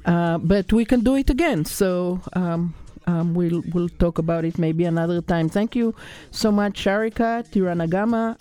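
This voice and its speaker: A woman hosting a radio interview, speaking unhurriedly with filler pauses into a close studio mic. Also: lowish voice, warm tone, no room sound. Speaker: radio host.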